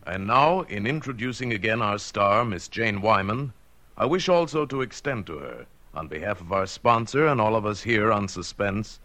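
A man's voice: on the low side, expressive, normal speed, bright, forceful tone, faint music behind. An American man hosting a 1940s radio show, making formal, courtly scripted announcements on a vintage broadcast.

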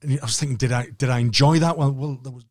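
Man, Northern English accent, mid pitch, halting and repetitive, dry close sound. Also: fairly even intonation, dry recording. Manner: halting, repeats words while thinking aloud. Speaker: podcast co-host. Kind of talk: casual podcast chat.

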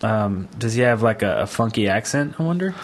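A man's voice: fairly low pitch, animated, a little fast. A man hosting a podcast in a casual, conversational style. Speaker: podcast host. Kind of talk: casual chat.

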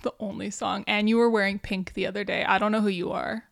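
A young adult woman co-hosting a podcast, chatting casually and gossiping with lots of fillers and quick asides. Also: medium pitch, little pitch movement, vocal fry, no echo, studio quality. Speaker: podcast co-host. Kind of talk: casual chat.

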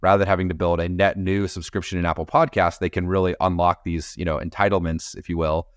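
Deep, dry-sounding male exec guest, steady and businesslike, lots of "you know". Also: dry recording. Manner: measured, businesslike, with frequent "you know" fillers. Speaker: podcast company executive, guest. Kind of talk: interview answers.

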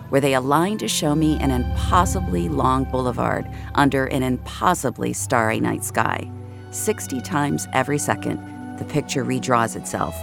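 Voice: medium pitch, vocal fry, lightly acted. An actor playing an introspective young regular of a virtual-reality world, narrating an audio drama in an intimate, confiding way. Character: introspective young VR social-space regular named Jamie. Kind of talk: first-person scripted audio drama narration.

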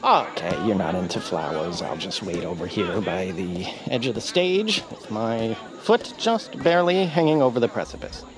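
A man's voice: fairly low pitch, animated, moderate pace, few pauses, a crowd in the background, close to an everyday voice. An actor playing a fussy, washed-up male bird who once voiced a radio hero, talking in a rambling, flustered, theatrical way. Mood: awkward. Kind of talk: audio drama acting.

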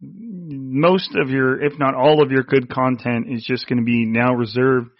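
A man's voice: medium pitch, steady tone, unhurried, no echo. Man, nasal voice, rambling podcast host, dry close sound, dull lo-fi audio. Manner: rambling, restarts often. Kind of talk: casual sports chat.

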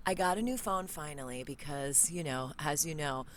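A woman with a low voice chats on a podcast from her home recording setup. She rambles and laughs often. Faint noise sits behind her. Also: normal speed, fairly bright tone. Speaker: podcast guest. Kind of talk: casual podcast chat. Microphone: studio mic with headphones.

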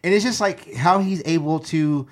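A man's voice: fairly high pitch, normal speed. A man co-hosting a casual podcast chat. He talks with enthusiasm and fills his sentences with "like" and "you know.". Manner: enthusiastic, filler-heavy, says "like" a lot.

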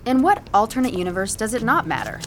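A woman's voice: a little high, big pitch swings, quick pace. A woman playing a practical, skeptical young girlfriend in an audio drama, with a dry, sarcastic, exasperated delivery. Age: young adult. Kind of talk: naturalistic audio drama dialogue.

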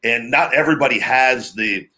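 Guy with a deep booming voice rambling on a podcast, casual chat. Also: normal speed, sharp tone, low-quality recording. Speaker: podcast host, former pro wrestler.

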